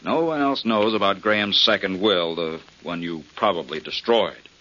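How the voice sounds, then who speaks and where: A shrewd, insinuating doctor in vintage radio crime drama. The voice is fairly low and forceful, with animated swings in pitch. The recording is dull and lo-fi, from the 1940s. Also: male voice. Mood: sly, insinuating. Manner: insinuating, deliberate bargaining. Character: shrewd doctor posing as a bargaining blackmailer.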